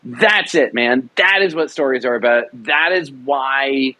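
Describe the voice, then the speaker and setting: An earnest man speaking in a relaxed interview, pressing his points with emphasis. He restarts phrases and repeats words as he works out his ideas, his pitch sitting in an ordinary middle range. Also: a little slow. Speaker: audio drama producer. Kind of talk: passionate conversational interview.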